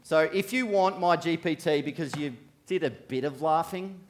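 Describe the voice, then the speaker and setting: A man's voice: a little high, normal speed. A man speaking as a motivational keynote speaker, addressing an audience directly from a conference stage.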